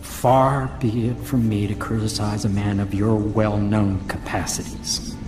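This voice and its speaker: An actor playing an awkward, fussy inventor in a video game, hesitant and stiffly formal. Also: male voice, lowish voice, little pitch movement, measured pace, over music, natural voice. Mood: stiff, guarded. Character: awkward, fussy male inventor and natural philosopher. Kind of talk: dramatic game cutscene dialogue.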